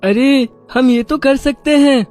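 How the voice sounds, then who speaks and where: A man's voice: extremely high pitch, slow, darkish tone, faint music behind. A man voicing an earnest, respectful poor village schoolboy in a children's story. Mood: excited. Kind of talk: children's moral story voice acting.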